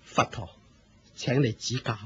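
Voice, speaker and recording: A man's voice: mid-range voice, unhurried, breathy edge. A man with a Hong Kong Cantonese accent playing an aging religious leader, proud yet humble, in a theatrical Buddhist radio drama. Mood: humble, earnest. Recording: muffled lo-fi radio drama recording.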